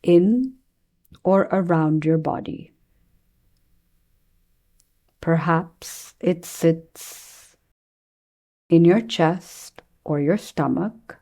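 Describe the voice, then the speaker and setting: Slow, low-voiced woman guiding meditation. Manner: gentle, soothing, with long pauses. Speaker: yoga and meditation teacher. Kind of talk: guided meditation.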